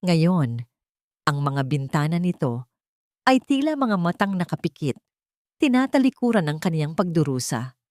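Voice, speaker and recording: A woman with a fairly low voice narrates a dramatic audio story. The recording is dry and close, with no room sound around her. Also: big pitch swings, normal speed, long pauses, darkish tone, dry recording.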